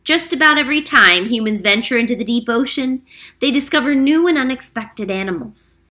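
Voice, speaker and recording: Bright-voiced woman reading aloud. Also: fairly high pitch, expressive. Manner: clear, explanatory, slows down on key facts. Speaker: teacher reading a picture book aloud. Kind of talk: read-aloud storytime narration.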